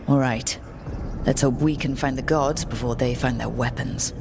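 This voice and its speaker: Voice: medium pitch, steady tone, normal speed, gravelly, in a large hall, noisy background, lightly acted. An actor playing a determined, plain-spoken, dryly wry hero in a fantasy game. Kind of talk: scripted fantasy game dialogue.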